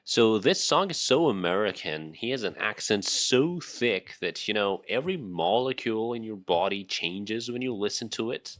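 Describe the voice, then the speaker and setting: Iranian male host, Persian native, low voice, dry studio re-record. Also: animated, normal speed, hardly any pauses, fairly bright tone, dry recording. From Iran. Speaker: English teacher and host. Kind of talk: casual interview.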